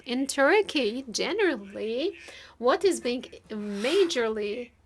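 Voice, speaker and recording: High-pitched woman interpreting a formal speech. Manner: halting, piecemeal phrasing with stretched words. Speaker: simultaneous interpreter.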